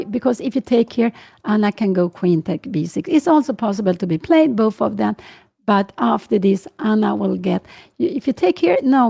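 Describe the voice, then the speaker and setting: A woman in her sixties with a Swedish accent, her English carrying the rhythm of her first language. Her voice is low and mellow with a gravelly edge, and she works through her thoughts slowly, with fillers and self-corrections. She sounds like a live chess commentator answering viewers as she goes. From Sweden. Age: 60s. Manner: thinking aloud with many fillers and self-corrections.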